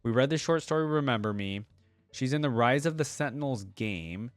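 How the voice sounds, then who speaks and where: A man with an average-pitched voice hosts a fan reaction, moving between reading lore aloud and casual commentary. His delivery is conversational and a little tentative in the asides. The sound is dry, with very little room to it. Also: expressive, normal speed, no echo, faint music behind. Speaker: host of a fan reaction channel.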